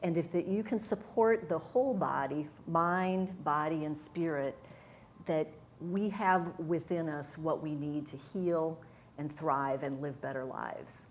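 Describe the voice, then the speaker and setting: Brief: middle-aged woman, low voice, eager and sincere, faint background hiss. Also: unhurried. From Wisconsin, USA. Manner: earnest, enthusiastic, with fillers and restarts. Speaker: interview guest, hypnotherapist and wellness coach. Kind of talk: conversational interview.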